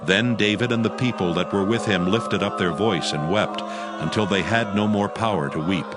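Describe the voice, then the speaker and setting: A man narrating a dramatized audio Bible, reading scripture as a solemn, measured storyteller. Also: fairly low pitch, loud music behind the voice.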